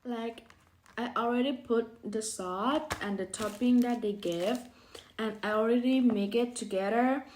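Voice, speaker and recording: A woman speaks in a fairly high voice at a very slow pace. She talks casually to viewers in a food vlog, picking simple phrases with some hesitation. Faint noise sits behind her. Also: a little mellow. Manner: hesitant, simple non-native English phrasing. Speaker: vlogger.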